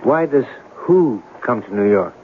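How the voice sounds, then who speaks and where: An actor playing a probing, eloquent newspaper reporter with theatrical, deliberate phrasing in an old-time radio drama. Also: male voice, medium pitch, expressive, a little hiss or hum, natural voice. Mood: curious, bantering. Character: probing, eloquent newspaper reporter in turn-of-century New York. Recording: narrowband old radio broadcast, music behind.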